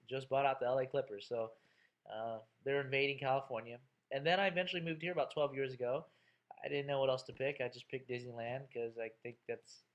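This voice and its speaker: A man in his thirties, a college instructor introducing himself to his class in a chatty, joking way with lots of fillers. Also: mid-range voice. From Texas, USA.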